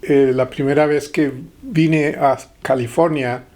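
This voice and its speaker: A middle-aged man from the Texas-Mexico border, a guest in an interview, speaking with hesitant pauses and fillers. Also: fairly high pitch, steady tone, darkish tone. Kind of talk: interview conversation.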